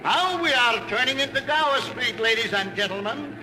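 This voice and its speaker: High-pitched tour bus conductor. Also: male voice, animated, normal speed. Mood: brisk announcing. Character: loud sightseeing bus tour conductor calling out sights. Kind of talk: 1930s radio advertisement sketch, announcing. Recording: noisy, reverberant 1930s radio recording.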